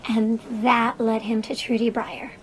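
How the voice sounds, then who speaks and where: A woman's voice: fairly high pitch, level tone, unhurried, lightly acted, low-quality recording. An actress playing an earnest, slightly wary young woman, questioning and hesitant in casual audio drama banter. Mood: curious, questioning.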